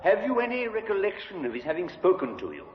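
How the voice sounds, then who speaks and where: An actor playing a persistent defense barrister who questions witnesses crisply in a 1950s radio drama. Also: male voice, sits high, wildly swooping pitch, fast. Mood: probing. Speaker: defense counsel. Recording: dull echoey old radio recording.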